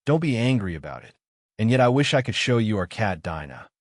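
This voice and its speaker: A man's voice: fairly low pitch, big pitch swings, fairly quick, dry recording, studio quality. A man voicing a polite, eager little girl in a storybook, chatty, earnest and apologetic in manner. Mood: soothing.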